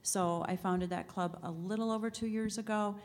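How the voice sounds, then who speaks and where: A woman's voice: mid-range voice, steady tone, moderate pace. A woman introducing herself on a conference panel in a friendly, upbeat way. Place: conference session room.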